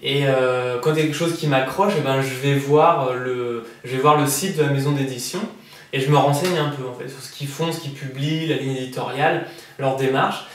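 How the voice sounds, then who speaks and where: A man in his thirties, an author from France, answering interview questions in a relaxed, hesitant way with many fillers and restarts. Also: mid-range voice, normal speed. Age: 30s. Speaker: prize-winning author interviewed. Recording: echoey room, voice from far off the mic.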